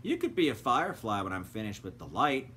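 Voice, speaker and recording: A man's voice: on the low side, sing-song, brisk, fairly bright tone, a little hiss or hum. A man voicing a cocky, know-it-all bumblebee who talks brashly. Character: cocky bumblebee, streetwise gambler.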